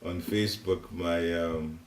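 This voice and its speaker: Older man, Ghanaian accent, very low voice, rambling home class talk, faint background hiss. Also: steady tone, takes it slowly, echoey room. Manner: rambling, chatty, chuckling asides. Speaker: devotional class leader. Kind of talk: informal spiritual teaching talk. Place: living room in a private home. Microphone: seated, room recorder at a distance.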